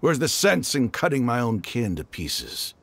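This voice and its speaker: An actor playing a cocky, hardened mercenary leader in a video game, taunting and deliberate in his delivery. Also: medium pitch, sing-song, a bit bright, a little hiss or hum. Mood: grudging respect.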